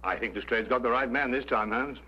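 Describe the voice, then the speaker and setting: An actor playing an earnest, genteel detective's companion who also narrates an old-time mystery drama. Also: male voice, mid-range voice, fairly quick, breathy edge, close to an everyday voice. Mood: concerned. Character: earnest gentleman, detective's loyal friend and narrator. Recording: dull old radio recording.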